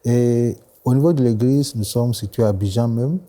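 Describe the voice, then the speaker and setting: A man in his forties from Ghana, a pastor whose first language is English, speaking with a Ghanaian accent in a measured studio interview, often answering with short agreements. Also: medium pitch, mellow. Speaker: pastor and guest.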